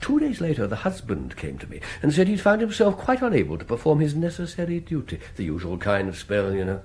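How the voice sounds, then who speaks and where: An actor playing a zealous, self-important exorcist priest in a radio drama, speaking in a pompous, theatrical way. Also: male voice, on the high side, huge pitch swings, fairly quick, darkish tone. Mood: smugly knowing.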